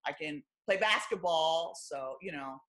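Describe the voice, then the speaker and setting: A woman from Los Angeles with a deep, low voice, a former stand-up comic talking candidly and wryly as an interview guest. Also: a little slow, fairly bright tone. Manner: wry, self-deprecating, lots of fillers like "you know" and "like".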